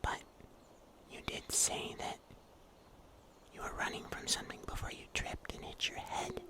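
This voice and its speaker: A man playing a wry, protective huntsman in a breathy, whispered ASMR roleplay. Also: lightly acted. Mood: ominous, wry. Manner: slow, hushed, gently teasing. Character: mysterious huntsman in dark woods, wry and protective.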